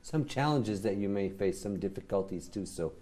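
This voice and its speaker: A man's voice: lowish voice, animated, moderate pace, mellow. A man hosting a TV studio interview, asking his guest questions formally and politely. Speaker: TV host.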